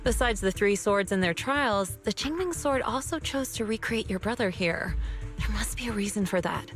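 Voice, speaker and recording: A woman's voice: mid-range voice, expressive, moderate pace, natural voice. A woman voicing a composed, nonchalant sword master and mentor in a video game. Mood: breezy. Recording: game music behind the voice.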